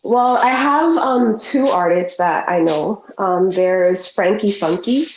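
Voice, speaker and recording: A woman with a stuffy cold chatting casually as a guest artist on an online call, often pausing to clarify herself. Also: medium pitch, slight background noise. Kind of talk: casual chat. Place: live online audio call from New York. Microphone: headset. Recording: roomy, echoing online call.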